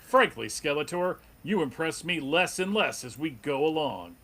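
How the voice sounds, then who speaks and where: A man's voice: fairly high pitch, lively intonation, moderate pace, sharp tone. A man playing an earnest, noble superhero in a melodramatic comic book table read. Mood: taunting, defiant.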